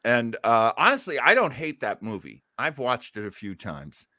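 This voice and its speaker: A man's voice: mid-range voice, very animated, moderate pace, pushed and bright, no room sound. Chatty man with a dry, close sound. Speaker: podcast host. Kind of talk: casual chat about old time radio.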